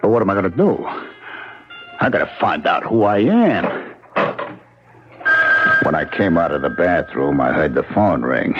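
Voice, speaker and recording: Tough New mobster for vintage radio: bewildered, scheming, very animated delivery, music underneath. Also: male voice, low voice, measured pace, long pauses. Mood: puzzled, urgent. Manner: streetwise, slangy, excitable. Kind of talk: 1970s radio drama acting with first-person narration. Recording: dull 1970s radio broadcast recording.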